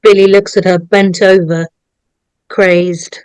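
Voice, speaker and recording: A woman's voice: fairly low pitch, flat delivery, takes it slowly, darkish tone, smooth, dry recording. A woman narrator reading stage directions aloud at a dramatic script table read.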